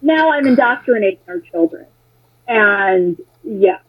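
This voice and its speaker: A woman's voice: a little high, lively intonation, a little slow, breathy edge. An American woman chatting casually and telling opinionated stories, blunt and sarcastic, swearing freely, on a muffled, lo-fi connection. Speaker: interview guest, former political candidate. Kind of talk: casual conversation, opinionated anecdotes. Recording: muffled lo-fi phone-like sound.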